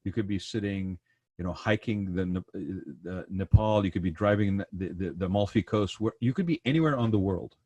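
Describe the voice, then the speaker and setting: A man's voice: low voice, a little fast, darkish tone, no echo. A man from the Persian Gulf region hosting a podcast in casual conversation, rambling and repeating words, often tagging thoughts with "right?" and "you know". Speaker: podcast host.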